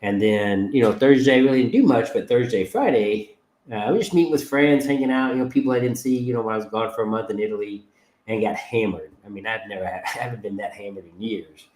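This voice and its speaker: Stuffy-nosed man with a low voice. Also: fast, a little mellow. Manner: blunt, chatty, rambling with fillers. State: stuffed up from a cold. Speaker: podcast host. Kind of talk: casual livestream monologue. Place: home setup with green screen. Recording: roomy echo.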